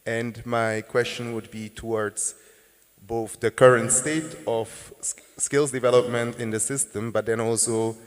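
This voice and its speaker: Flat low-voiced male moderator. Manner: polite, measured questions. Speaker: panel moderator. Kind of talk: moderating a panel discussion. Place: conference hall. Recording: echoey hall.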